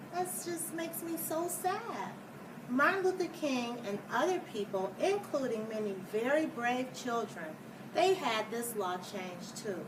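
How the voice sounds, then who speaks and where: A woman reading a children's picture book aloud like a schoolteacher, deliberately, with explanatory asides for young listeners. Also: fairly high pitch. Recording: distant mic, clear background noise.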